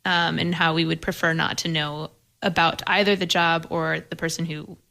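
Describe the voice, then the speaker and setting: A woman's voice: sits low, little pitch movement, normal speed, vocal fry, bright, forceful tone. A woman on a panel, asking questions and giving opinions in a casual film discussion.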